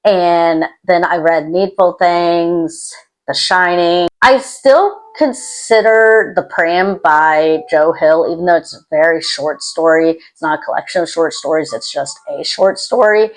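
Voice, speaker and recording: A woman with a lowish voice chats informally to camera as the host of her own video channel. She comes across as introverted and self-deprecating, rambling from one thought to the next. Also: unhurried, low-quality recording. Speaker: YouTube host. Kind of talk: casual chat to camera. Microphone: external microphone.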